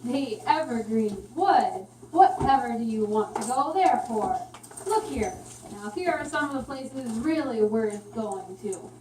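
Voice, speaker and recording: A sly, high-voiced rat salesman in a puppet show, played by a woman, wheedles in slow, folksy scraps of patter. The sound is roomy and distant. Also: lively intonation, dark tone. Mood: wheedling. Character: sly male rat map seller, pushy and folksy. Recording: roomy, distant mic, faint noise.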